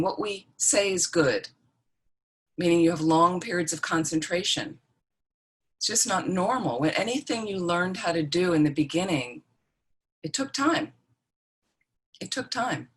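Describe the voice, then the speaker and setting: A woman with a fairly low voice teaches an online dharma class. She talks informally and reassuringly, checking in with her listeners as she explains. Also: slightly rough. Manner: conversational, reassuring, checks in with "okay?" and "right?". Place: online group video call.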